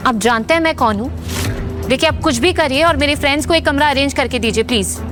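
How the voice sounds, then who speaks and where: A woman playing an entitled, sharp-tongued young rich wife in an audio drama, demanding and haughty. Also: sits high, fast talker, music in the background, natural voice. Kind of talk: Hindi audio drama acting.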